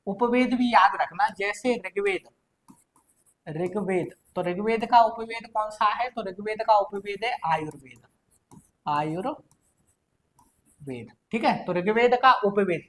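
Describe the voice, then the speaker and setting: A woman's voice: medium pitch, fairly bright tone, a little hiss or hum. Indian woman teaching, repetitive question-and-answer style. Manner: didactic, repetitive, asking and answering own questions. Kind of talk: exam-prep lesson going through quiz questions.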